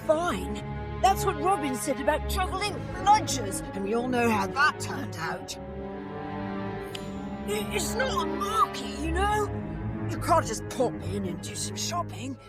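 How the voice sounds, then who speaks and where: A high, swooping young voice from a woman plays an anxious schoolboy wizard in a game cutscene. He is fretful and cautious, forever protesting, and prominent music runs behind him. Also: big pitch swings, measured pace. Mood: worried, exasperated. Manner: fretful, protesting, stammers when flustered. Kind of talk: video game cutscene acting.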